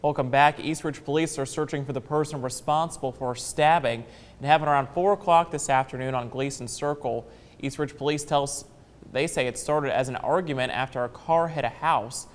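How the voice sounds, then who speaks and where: A male American news anchor delivers scripted copy in a newscast style. His pitch sits in the middle, and the sound carries a long reverb. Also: brisk, slight background noise.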